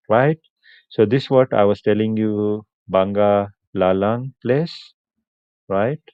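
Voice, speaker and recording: A man hosts a live stream, speaking in an unhurried, chatty way and laughing now and then. His low voice is smooth and clear. The sound is dry and a little muffled. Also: no room sound. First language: Punjabi. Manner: unhurried, chatty, answers comments, laughs often. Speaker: livestream host. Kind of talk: casual live stream chat with viewers.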